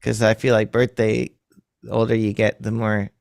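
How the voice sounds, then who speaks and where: A man's voice: on the low side, flat delivery, moderate pace, creaky, a little mellow, no room sound, clean studio sound. A man in his thirties, a musician chatting casually in a rambling, self-deprecating way and laughing often. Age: 30s. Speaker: musician guest. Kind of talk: casual chat.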